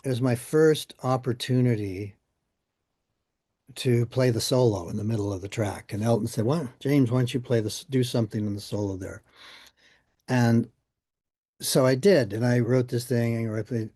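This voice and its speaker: Mellow older man telling anecdotes. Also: mid-range voice, normal speed, no room sound, clean studio sound. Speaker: musician being interviewed. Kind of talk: casual anecdotal storytelling in an interview.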